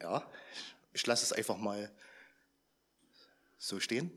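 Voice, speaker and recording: Slightly hoarse man talking to a crowd. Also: on the low side, takes it slowly, pushed and bright. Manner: chatty, asks the audience questions. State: slightly hoarse, under the weather. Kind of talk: informal talk to a gathered audience.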